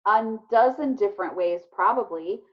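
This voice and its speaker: A woman's voice: medium pitch, moderate pace, natural voice. A woman voicing a bookish, skeptical child who explains and scoffs, matter-of-fact, in a children's storybook read-aloud. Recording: muffled, lo-fi recording.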